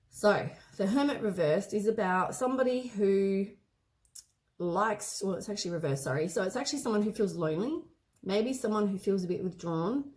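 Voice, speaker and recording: A hoarse woman with a head cold gives a casual tarot reading, meandering and often correcting herself mid-sentence. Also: medium pitch, darkish tone. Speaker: tarot card reader.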